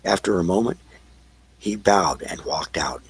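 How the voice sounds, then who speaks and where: A man narrating an audio play in an amateur, somewhat halting reading, with a gravelly, husky voice. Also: low-pitched, animated.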